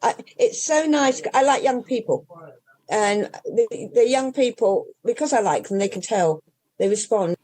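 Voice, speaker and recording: A woman's voice: fairly high pitch, a little slow, mellow, poor audio. An older English woman who runs a bookshop, chatting in an interview and rambling through restarts and asides. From England. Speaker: independent bookshop owner. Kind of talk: casual interview chat.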